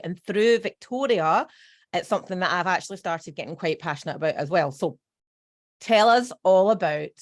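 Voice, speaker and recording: Bubbly middle-aged woman podcast host. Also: lowish voice. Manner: bubbly, enthusiastic, emphatic. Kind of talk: casual podcast interview.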